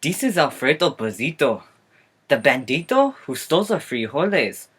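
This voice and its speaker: A big storybook Chihuahua boss acted by a woman introduces things formally. The delivery is halting and deliberate in its phrasing. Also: low-pitched, sing-song, breathy edge, exaggerated voice. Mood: proud, grave. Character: big male Chihuahua gang leader.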